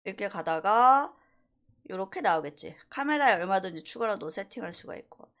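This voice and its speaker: A woman instructor giving a casual software tutorial, talking through each step conversationally and checking in with short rhetorical questions. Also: mid-range voice, expressive, fairly quick. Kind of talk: casual screen-recorded software tutorial, thinking aloud while demonstrating.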